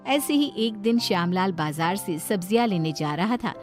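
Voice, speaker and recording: A woman's voice: mid-range voice, animated, moderate pace. A woman storyteller reading scripted narration of a moral tale. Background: light background music.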